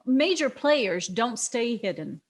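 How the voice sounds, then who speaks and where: A woman performs a wary police detective in a crime drama. Her voice is on the higher side, and she questions things with open doubt. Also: very animated, slow pace, full character voice. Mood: skeptical. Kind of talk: dramatic screenplay table read.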